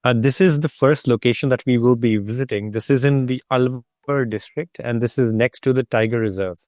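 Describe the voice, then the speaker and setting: Guy with an average pitch explaining stuff on a video call, keen and rambly, really dry sound. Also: brisk, darkish tone, no echo. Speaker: guest co-presenter and trip guide. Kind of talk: informal explanation in an online talk.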